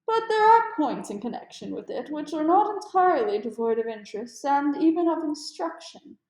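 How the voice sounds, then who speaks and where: A woman's voice: high-pitched, very animated, moderate pace, a little mellow, reverberant, pitched above the performer's own voice. A woman voicing a keen, amused male detective with precise, formal and emphatic speech in a storybook read-aloud. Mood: amused, self-assured.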